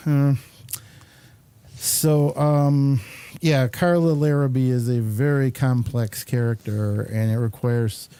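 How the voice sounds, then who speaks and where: A man hosting a casual interview, speaking haltingly and often chuckling as he goes. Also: mid-range voice, warm tone, no room sound. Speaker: show host and film maker. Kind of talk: casual studio interview chat.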